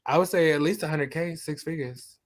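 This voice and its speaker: A man's voice: fairly high pitch, brisk. A man in his thirties, a guest on a livestream chat, answering in a hesitant way that trails off. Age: 30s. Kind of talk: casual chat.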